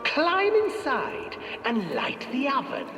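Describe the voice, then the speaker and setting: A man's voice: extremely high pitch, huge pitch swings, slow, gravelly, pitched above the performer's own voice, full character voice. A man voicing a wicked, menacing old witch in a theatrical storybook telling. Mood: impatient. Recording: echoey hall, distant, music behind.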